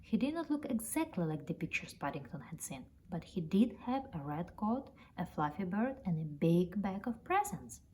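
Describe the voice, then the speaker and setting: A woman reading a children's story aloud in an expressive storytelling style. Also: on the low side, normal speed, hardly any pauses, rough recording. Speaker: storybook reader. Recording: noticeable background noise, ambience.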